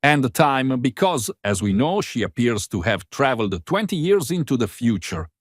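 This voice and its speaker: A man playing an analytical crewman who reasons aloud deliberately in a sci-fi audio drama. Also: medium pitch, big pitch swings, no room sound, studio quality. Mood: puzzled, analytical. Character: analytical male crewman reasoning through a puzzle.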